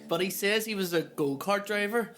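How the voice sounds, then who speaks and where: A man rambles through a school story in casual chat. His voice has a bright edge, and he fills his speech with "like" and the odd swear. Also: sits high, normal speed, slight background noise. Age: 20s.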